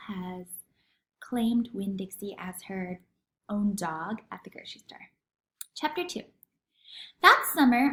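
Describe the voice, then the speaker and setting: A woman reading a children's story aloud in an expressive, teacherly way, acting out the dialogue. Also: a little high, roomy sound. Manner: animated, acts out dialogue.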